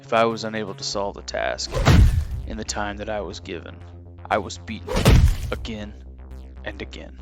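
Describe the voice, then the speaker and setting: A haunted, solemn warrior in an audio drama. His low voice is full of gravel, each phrase set down deliberately, with clear music underneath. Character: grave male ex-disciple warrior with tortured past.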